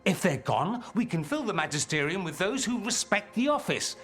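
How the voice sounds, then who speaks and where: An actor playing a suave, ambitious mage and rebel schemer in a fantasy video game, speaking with wry, theatrical eloquence. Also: male voice, high voice, big pitch swings, normal speed, fairly bright tone, faint music behind. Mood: wry, persuasive. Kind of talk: dramatic video game dialogue acting.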